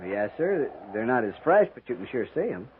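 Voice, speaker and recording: An American actor playing an eager, respectful junior cavalry lieutenant in a 1950s radio western, earnest and a little hesitant. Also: male voice, mid-range voice, sing-song, moderate pace, phone-quality sound, close to an everyday voice. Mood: puzzled, respectful. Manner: polite, earnest, slightly hesitant. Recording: thin, noisy 1950s radio recording.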